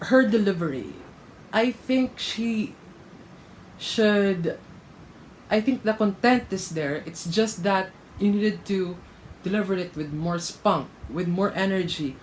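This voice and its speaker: A man's voice: sits high, a little hiss or hum, rough recording. A man commenting casually as a reaction vlogger, frank and laughing between remarks. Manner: chatty, laughs mid-sentence, frank critique. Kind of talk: casual commentary, rating contestants.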